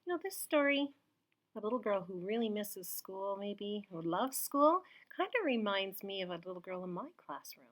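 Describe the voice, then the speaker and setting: A woman's voice: mid-range voice, animated, moderate pace. A woman who works as a teacher reads a children's picture book aloud. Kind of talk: children's storybook read-aloud.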